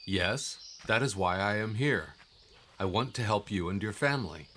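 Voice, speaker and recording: An actor playing a calm, mysterious, faithful guide in an audio drama, with a measured, gently probing delivery. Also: male voice, lowish voice, a little hiss or hum. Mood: encouraging, knowing.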